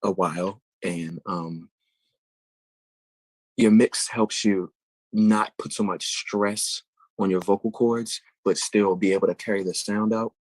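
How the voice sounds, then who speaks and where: Low-voiced man explaining singing. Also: steady tone, slow, a little mellow, dry recording, poor audio. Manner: thoughtful, explains vocal technique at length. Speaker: guest singer. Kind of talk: interview answers.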